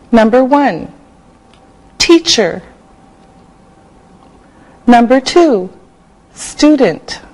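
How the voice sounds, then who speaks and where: Slow, careful older woman teacher. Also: a little high, low-quality recording. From Canada. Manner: slow, clearly enunciated dictation. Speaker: English teacher. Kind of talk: slow scripted classroom teaching. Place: TV studio set. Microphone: lapel mic.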